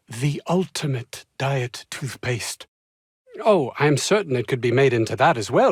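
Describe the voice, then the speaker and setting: Pompous, high-strung scientist, swooping pitch. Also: male voice, husky, no echo, studio quality. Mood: grandiose, boastful. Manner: pompous, wordy, formal diction with flustered hesitations. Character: pompous, anxious, self-important male research scientist. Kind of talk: video game character dialogue.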